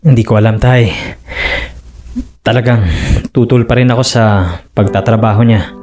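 A man's voice: mid-range voice, flat delivery, normal speed, a little mellow, natural voice. A man playing a fisherman husband and father who speaks hesitantly in a radio drama. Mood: troubled. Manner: hesitant, stammering. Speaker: voice actor. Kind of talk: radio drama acting and narration.

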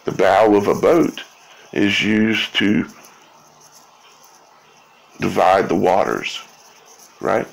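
A man giving an informal explanatory monologue in a halting way, repeating words and often checking in with "okay?" or "right?". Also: fairly low pitch, steady tone, gravelly, low-quality recording. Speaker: video creator.

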